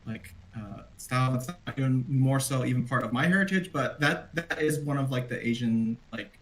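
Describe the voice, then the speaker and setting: Male panelist, mid pitch, hesitant and rambling, heard over a video call. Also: moderate pace, rough recording. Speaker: drag performer, panelist. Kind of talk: casual online panel chat.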